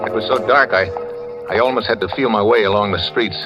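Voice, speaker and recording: A tense American man on the run, a sailor played by a radio actor, with a lowish voice and clipped, hesitant, colloquial speech. He sounds wary and determined, in the style of a vintage 1940s radio thriller recording. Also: fast, lo-fi sound, loud music behind the voice, natural voice. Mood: tense narration. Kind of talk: 1940s radio thriller acting, dialogue and first-person narration.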